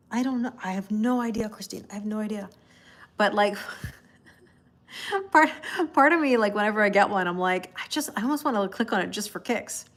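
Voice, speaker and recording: A woman from Canada chats her way through a tarot livestream, talking to her viewers. She rambles in loose, easygoing asides, laughing often and calling out viewers by name as she reads their comments. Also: mid-range voice. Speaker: tarot reader and livestream host. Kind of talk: casual livestream chat.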